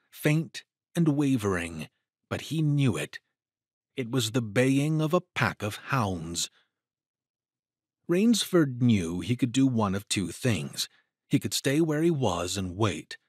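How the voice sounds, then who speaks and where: Male narrator, husky, measured pace, dramatic storytelling, suspenseful, widely varied intonation. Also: fairly low pitch, dry recording, studio quality. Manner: suspenseful, deliberate.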